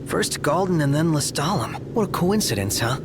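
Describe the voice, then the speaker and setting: An actor playing a chirpy, teasing young sidekick in a video game, casual and playful. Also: male voice, fairly high pitch, big pitch swings, moderate pace, heavy background noise. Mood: light, curious. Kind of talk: video game cutscene acting.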